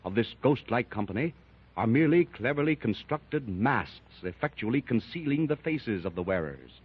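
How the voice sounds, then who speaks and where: Brief: male serial narrator, husky voice, dramatic reading, dull old radio sound. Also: medium pitch, very animated, normal speed. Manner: dramatic, descriptive.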